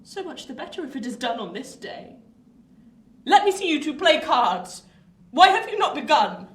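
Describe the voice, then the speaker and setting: A bitter, commanding old lady of a Victorian radio drama, fairly high in pitch. She speaks with haughty theatricality. The sound is roomy and set back from the mic. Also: very animated, normal speed. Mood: bitter, imperious. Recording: roomy, distant, noisy home recording.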